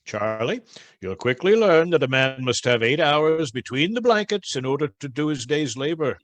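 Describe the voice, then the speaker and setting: An actor playing a hearty, generous master woodcarver in a radio play, with hesitant, old-fashioned jovial talk. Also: male voice, a little high, expressive, moderate pace, close to an everyday voice. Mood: fatherly, firm.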